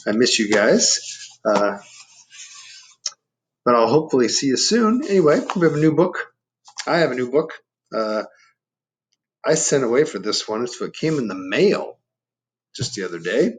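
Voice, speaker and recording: A man's voice: medium pitch, big pitch swings, moderate pace, pauses often. A man reading a picture book aloud to children, explaining things and putting questions to the listeners in chatty asides. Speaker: storybook reader.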